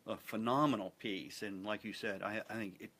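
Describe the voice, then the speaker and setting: A middle-aged American man talking as an interview guest in a halting, reflective way, often repeating phrases. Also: on the low side, fairly even intonation, fairly bright tone. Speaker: theatre producer and interview guest. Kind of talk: conversational interview talk.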